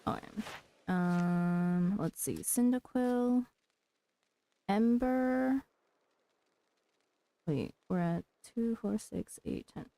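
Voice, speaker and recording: Slow, creaky-voiced woman streaming a game. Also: medium pitch, dark tone, poor audio. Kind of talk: casual livestream gameplay commentary.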